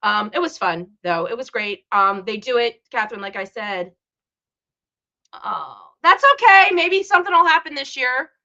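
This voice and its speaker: Bright-voiced chatty woman livestream host. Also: fairly high pitch, expressive, moderate pace, vocal fry, highs cut off. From Chicago area. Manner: chatty and rambling, greets chat viewers by name. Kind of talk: casual live-stream chat with viewers.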